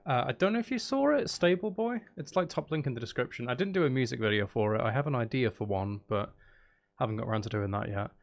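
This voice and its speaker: A man talks casually as a livestream host, his pitch moving around freely with plenty of creak in the voice. He rambles and often interrupts himself as he addresses viewers. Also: lively intonation, fast talker. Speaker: live streamer, channel host.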